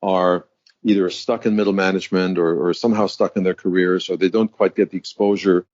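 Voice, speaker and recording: A man's voice: sits low, level tone, brisk, darkish tone, no echo. A man in his fifties from Germany, speaking, who tells his story as a guest executive coach in a reflective, articulate way. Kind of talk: interview conversation. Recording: dull, dry sound.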